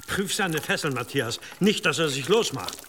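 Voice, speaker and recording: An actor playing a stern, pompous abbot and exorcist in a German audio drama, commanding and domineering. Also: male voice, on the high side, lively intonation, normal speed, noisy background, close to an everyday voice.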